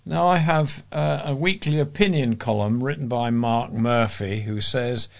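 British man reading aloud, mid-pitched voice. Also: measured pace, gravelly. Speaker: volunteer newspaper reader. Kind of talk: reading letters aloud for a talking newspaper.

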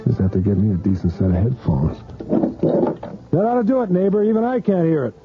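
A man's voice: fairly high pitch, huge pitch swings, brisk. An actor playing an obsessed, lovesick man who narrates his own brooding thoughts in an old radio drama. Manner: brooding inner monologue turning frantic. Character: obsessed lovesick man unraveling over a lost woman. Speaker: lead character and narrator. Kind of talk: 1980s radio drama acting. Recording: dull lo-fi radio recording with loud music.

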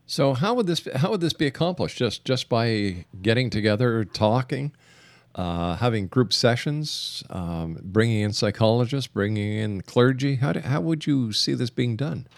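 A man's voice: mid-range voice, lively intonation, normal speed, creaky, no room sound. A man hosting a radio interview, putting questions to his guest in a conversational way.

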